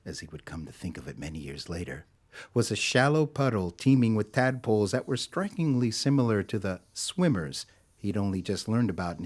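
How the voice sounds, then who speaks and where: A man narrating a scripted audio drama as a storyteller, with a measured, wry, theatrical delivery. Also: fairly low pitch, darkish tone.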